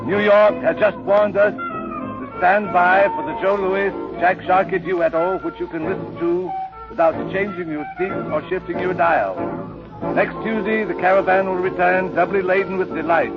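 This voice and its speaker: Male vintage radio show announcer: high voice, ornate formal script delivery, dull lo-fi broadcast with music behind. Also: sing-song, moderate pace.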